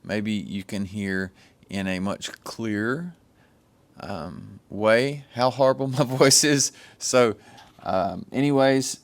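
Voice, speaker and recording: A man with a rough, somewhat low voice talks through his engraving gear in a relaxed, rambling way. He wanders and restarts his sentences, filling the gaps with ums. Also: slow. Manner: meandering, with frequent ums and restarts. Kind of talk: casual show-and-tell explanation. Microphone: microphone.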